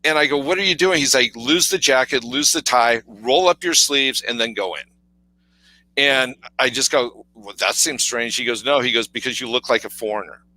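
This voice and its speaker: Deep-voiced man, bright and dry. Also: normal speed, dry recording, rough recording. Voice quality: deep authoritative voice. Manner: storytelling, acts out quoted voices, many fillers. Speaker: podcast co-host. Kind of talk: casual conversational coaching talk.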